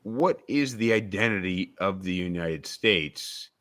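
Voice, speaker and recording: A young man hosts a casual discussion podcast in a very low, bright-toned voice. He rambles as he thinks aloud, restarting sentences and filling gaps with "you know." His talk is loose and conversational. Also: animated, measured pace. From suburbs of Albany, New York. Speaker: podcast host.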